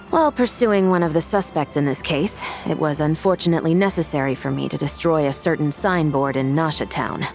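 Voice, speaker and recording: Firm lady cop in a game, low voice, formal, bit of music behind. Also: expressive, vocal fry. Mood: businesslike. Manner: measured, formal, matter-of-fact. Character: firm, fair-minded female law officer, composed and authoritative. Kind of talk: video game character acting.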